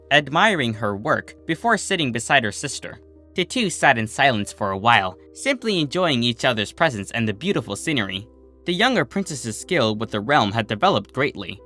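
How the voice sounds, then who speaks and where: A man narrates a scripted story in a bright voice. His pitch moves in lively swings, and faint music plays behind him. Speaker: storyteller reading fan fiction aloud.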